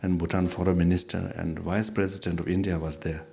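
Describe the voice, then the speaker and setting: Deep, mellow-voiced man teaching gently. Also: flat delivery, creaky. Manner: unhurried, halting, gently humorous. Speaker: Buddhist teacher. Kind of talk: dharma talk with storytelling.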